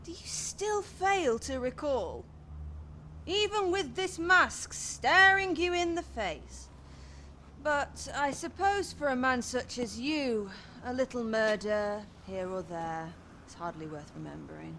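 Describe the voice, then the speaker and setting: An actress playing a seductive, vengeful masked madam in a fantasy game, speaking in measured, theatrical, archaic phrases. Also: female voice, high voice, animated, bright, forceful tone, slight background noise, full character voice. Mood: cold, accusing. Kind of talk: fantasy video game voice acting.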